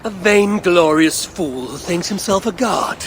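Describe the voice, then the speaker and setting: Norse warrior god, creaky voice, commanding, dryly witty, measured, game cutscene, slight background noise. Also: male voice, high-pitched, expressive. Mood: wry, taunting. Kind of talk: video game cutscene acting.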